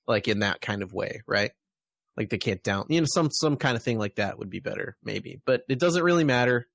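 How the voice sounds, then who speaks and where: A man's voice: fairly low pitch, creaky, no echo. A man hosting a podcast, giving his opinions in informal review chat and thinking aloud with tag questions. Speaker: podcast host.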